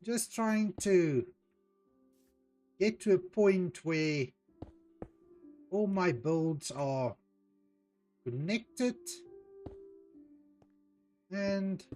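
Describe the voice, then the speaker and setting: A man from South Africa with an Afrikaans accent, a native Afrikaans speaker, chatting casually as a streamer, unhurried and hesitant with many ums. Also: sits high, lively intonation, mellow, faint music behind. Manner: unhurried, hesitant, with frequent ums while reading out chat. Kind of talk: casual chat while playing a game.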